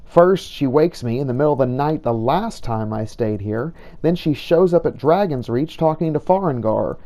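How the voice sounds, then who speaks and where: Male gamer-narrator, first-person role-play, wry storyteller, mellow tone. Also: medium pitch, lively intonation, moderate pace.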